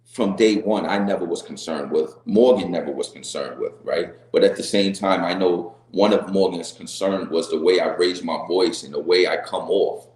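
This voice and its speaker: Deep-voiced man, earnest and halting. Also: darkish tone, reverberant, low-quality recording. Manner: earnest, repeats words and restarts, says "right?" often. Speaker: reality show guest. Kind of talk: candid interview answers.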